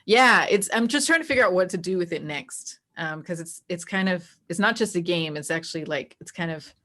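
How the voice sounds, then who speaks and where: A woman with a slightly low voice chats as an interview guest. She tells things in an animated, informal way, like a game master recounting stories to a friend. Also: a little fast. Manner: animated storytelling that acts out quoted dialogue, frequent "like". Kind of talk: casual chatty interview.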